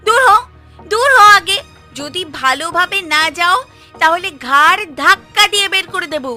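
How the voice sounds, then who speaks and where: A woman's voice: extremely high pitch, animated, normal speed, pushed and bright, soft background music, full character voice. A woman voicing a fretting, scolding village housewife in a cartoon. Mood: indignant. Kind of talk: cartoon voice acting.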